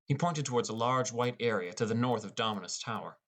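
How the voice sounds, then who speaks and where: A man's voice: mid-range voice, brisk, a bit bright. A man narrating a scripted first-person story as an audiobook narrator.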